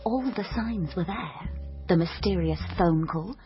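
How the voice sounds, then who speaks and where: Overconfident female amateur detective, British, lowish voice, pompous hardboiled narration, radio comedy, music behind. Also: expressive, unhurried, lo-fi sound. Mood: hardboiled, intent. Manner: melodramatic, pompous. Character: self-important amateur female sleuth, earnest and overconfident. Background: prominent music.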